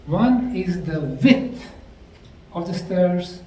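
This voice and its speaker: A man with a Bosnian accent giving a deliberate, emphatic lecture to a live audience. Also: high voice, animated, darkish tone. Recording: echoey room, mic far off, faint noise.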